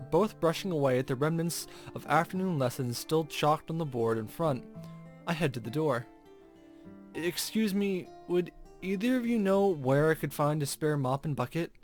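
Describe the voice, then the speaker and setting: A man hosting a gaming video, reading a visual novel aloud expressively with informal commentary. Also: a little high.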